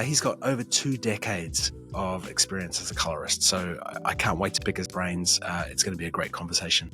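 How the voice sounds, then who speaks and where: A man hosting a podcast interview with a hoarse, strained voice that is nearly gone, chatting in a friendly way with plenty of restarts. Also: low-pitched, bright, forceful tone. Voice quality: raspy. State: hoarse, losing his voice. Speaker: podcast host. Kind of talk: casual interview chat.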